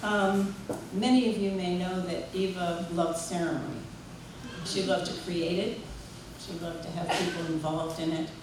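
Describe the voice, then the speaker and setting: A woman's voice: on the low side, steady tone, normal speed, background noise. Woman speaking from far off in an echoey hall. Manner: warm, chatty, leads group singing. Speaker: memorial speaker and singer. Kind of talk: heartfelt spoken tribute. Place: memorial gathering in a large room.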